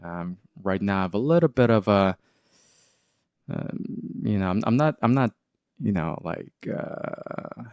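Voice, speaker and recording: A man's voice: on the low side, lively intonation, a little slow, a little mellow, clean tone, no echo, dull audio. A man with a sore throat and a congested voice hosts his crypto channel, talking through market charts with lots of hesitant fillers and restarts. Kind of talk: casual market commentary.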